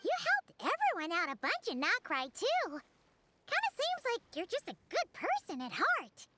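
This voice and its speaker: A woman voicing a tiny, childlike fairy companion in a video game, squeaky, perky and chatty. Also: huge pitch swings, measured pace, very noisy, heavily acted. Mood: cheerful. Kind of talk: cartoonish video game voice acting. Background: game music.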